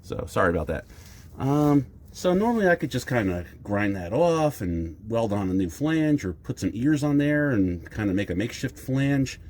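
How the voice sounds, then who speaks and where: A man's voice: mid-range voice, very animated, moderate pace, darkish tone, slight background noise. A man hosting a casual do-it-yourself car repair vlog, talking in a rambling, self-deprecating way with a stuffy nose. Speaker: DIY video host.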